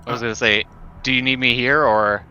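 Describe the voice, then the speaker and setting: A man's voice: medium pitch, steady tone, fast, sharp tone, clear, resonant tone, muffled recording. A man playing a tabletop game, roleplaying casually and feeling his way tentatively through his phrases.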